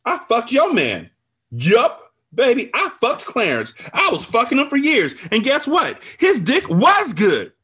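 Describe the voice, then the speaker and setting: A man voicing a furious, foul-mouthed woman in an audiobook drama, loud, sassy and confrontational, bent on revenge. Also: mid-range voice, very animated, normal speed, pitched above the performer's own voice. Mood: vengeful, gloating. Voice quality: loud, raised voice. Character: Alice, furious, foul-mouthed, vengeful woman betrayed by her friend.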